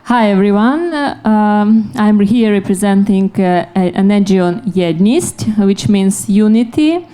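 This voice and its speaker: Smooth, mellow Ukrainian-accented woman. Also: medium pitch, expressive, a little slow, hardly any pauses. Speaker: NGO representative, panelist. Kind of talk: prepared panel introduction.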